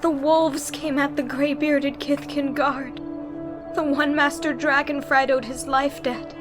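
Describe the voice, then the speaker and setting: Woman playing a high, slow, mournful ninja. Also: level tone, music in the background. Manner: hushed, solemn. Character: quiet, mournful ninja follower in a fantasy.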